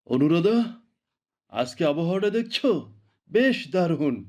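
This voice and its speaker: Guy voicing a cartoon king, kinda high voice, reassuring and regal, spoils his wife. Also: big pitch swings, unhurried, a little mellow. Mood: tender, reassuring. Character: devoted king, tender and indulgent husband. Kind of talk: cartoon voice acting.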